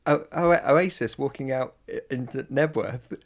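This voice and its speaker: A man's voice: medium pitch, measured pace. A man co-hosting a film podcast in casual chat, playful and teasing, often restarting his words. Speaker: podcast co-host. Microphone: headset.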